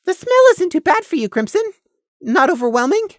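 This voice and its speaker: A woman's voice: high-pitched, big pitch swings, a little fast, dry recording, studio quality, pitched above the performer's own voice. A woman voicing a cautious, earnest young male pony with a careful, hesitant manner in an audio story.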